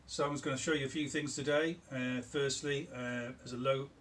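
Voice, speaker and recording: A middle-aged man giving a casual, informal product demonstration in a small indoor workshop, explaining haltingly and repeating words for emphasis. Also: medium pitch, fairly even intonation, a bit bright. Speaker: electronics builder presenting their own product. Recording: clear background noise in a room.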